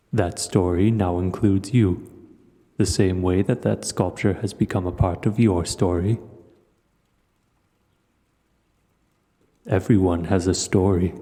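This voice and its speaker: Man voicing a deep, dark-toned ancient dragon. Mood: gentle, wise. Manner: slow, measured, reflective storytelling. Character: ancient, wise, gentle male dragon hoarder. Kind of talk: audio roleplay acting, addressing the listener. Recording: cavernous long reverb.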